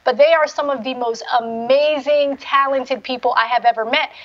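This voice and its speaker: Young woman. Articulate, affirming panel host, high voice, lo-fi call audio. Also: fairly even intonation, moderate pace. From Somalia, raised in Atlanta from childhood. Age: young adult. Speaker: panel moderator. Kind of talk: panel discussion, conversational. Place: indoor room at home, on a video call. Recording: dull video-call audio.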